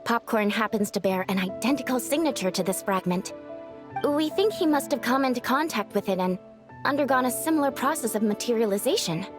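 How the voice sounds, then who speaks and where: Woman playing a high-voiced, polite young bathhouse spirit. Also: expressive, brisk, over music, close to an everyday voice. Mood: earnest explaining. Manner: polite, hesitant, soft-spoken explanations. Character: gentle, earnest young female dream-spirit bathhouse caretaker. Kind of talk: anime game dialogue acting.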